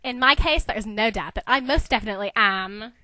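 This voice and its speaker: Woman playing a flamboyant, high, bright teenage boy. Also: brisk, no echo. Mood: showy, cheeky. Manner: theatrical, campy, teasing. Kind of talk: amateur dramatic reading of a novel.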